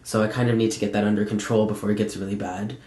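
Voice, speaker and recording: A young man giving a casual, candid personal update in a conversational, halting way with frequent pauses. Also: lowish voice, little pitch movement, darkish tone. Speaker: vlogger. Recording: echoey room sound.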